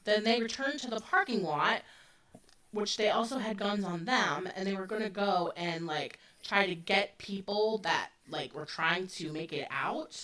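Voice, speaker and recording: A woman's voice: mid-range voice, pushed and bright. A woman in her forties co-hosting a casual true-crime chat, talking loosely with lots of fillers. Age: 40s. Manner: loose and conversational, with frequent fillers. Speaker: podcast co-host. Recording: voice a bit distant from the mic.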